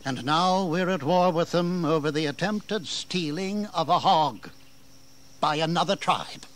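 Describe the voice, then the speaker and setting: An actor playing an indignant, authoritative colonial governor with bitter sarcasm and an oratorical, emphatic delivery in a historical audio drama. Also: male voice, on the high side, measured pace, a bit bright. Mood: sarcastic, exasperated. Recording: 1980s audio drama with background noise.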